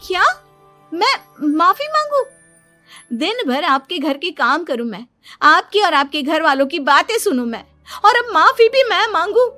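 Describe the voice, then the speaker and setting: A woman's voice: very high-pitched, very animated, a little slow, bright, forceful tone, faint music behind, exaggerated voice. A woman voicing a self-pitying, boastful young wife in a cartoon story, complaining dramatically. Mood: indignant.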